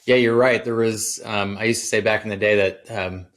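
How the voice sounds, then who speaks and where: A man being interviewed as a guest, telling his story in a relaxed way with many ums and uhs. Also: lowish voice, moderate pace. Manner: relaxed, filler-heavy, easygoing. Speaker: guest, social media agency founder. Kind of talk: casual interview chat.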